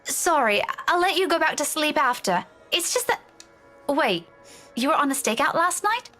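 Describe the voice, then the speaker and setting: A woman playing an anxious, fussy and sharp-tongued young schoolteacher in a visual novel game. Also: high-pitched, very animated, normal speed, heavily acted. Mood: urgent, exasperated. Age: young adult. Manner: urgent, insistent. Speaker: game voice actor. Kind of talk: dramatic visual novel dialogue. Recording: music behind the voice.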